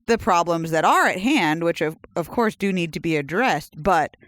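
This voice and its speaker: An earnest podcast co-host talking in an everyday middle pitch, quick to agree and affirm the other speaker. The voice is smooth and clear. It is recorded close and dry, with no room sound. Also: animated, fairly bright tone, dry recording. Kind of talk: casual chat.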